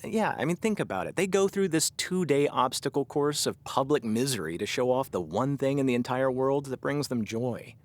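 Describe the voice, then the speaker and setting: A man playing a gentle, earnest middle-aged cat lover in a comedy audio drama, speaking tenderly and a little haltingly. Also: medium pitch, animated, lightly acted. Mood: heartfelt.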